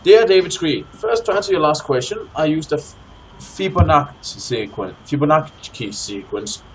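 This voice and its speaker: A man hosting a co-op gaming session, commenting casually and reading in-game text aloud with deliberate phrasing. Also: on the high side, animated, low-quality recording. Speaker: host of a co-op let's play. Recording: clear background noise, game ambience.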